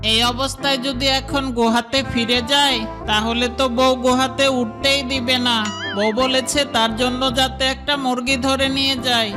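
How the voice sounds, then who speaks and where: Scheming cartoon jackal, male actor: high voice, little pitch movement, music underneath. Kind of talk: children's cartoon voice acting.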